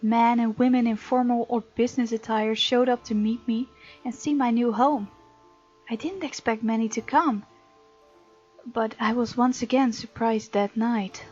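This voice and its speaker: High-voiced woman, muffled game playthrough. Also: a little mellow. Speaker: gaming video host. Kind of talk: reading game text aloud with casual commentary.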